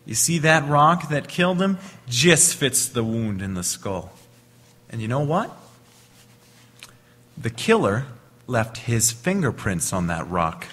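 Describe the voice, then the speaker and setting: A raspy-edged police inspector played by a man presses his case in a reverberant hall. He is self-assured, wry and accusing, with the theatrical insistence of old-time radio crime acting. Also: medium pitch, big pitch swings, takes it slowly, pauses often, a bit bright, heavily acted.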